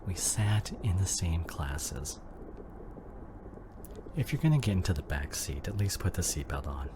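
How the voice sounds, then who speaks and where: A man's voice: low voice, very animated, a little slow, background noise. A man acting a devoted, worried husband in an emotional ASMR drama, speaking intimately and breathily, often half-whispering. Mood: tender, wistful, then worried, affectionate. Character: devoted, worried husband comforting his injured wife.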